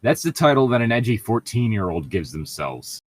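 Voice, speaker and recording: A man's voice: on the low side, very animated, moderate pace, slightly rough. A man reading a story aloud as a co-host, breaking off for sarcastic, irritable, profane commentary and casual banter. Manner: sardonic, irritable, profane heckling.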